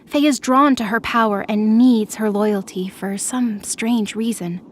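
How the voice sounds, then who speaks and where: A woman's voice: on the high side, unhurried, slight background noise. A woman narrator reading scripted, dramatic fiction for an audio drama.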